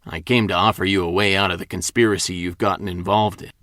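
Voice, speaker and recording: A man voicing a calm male investigator who asks measured, probing questions. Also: low voice, dry recording, studio quality, pitched below the performer's own voice.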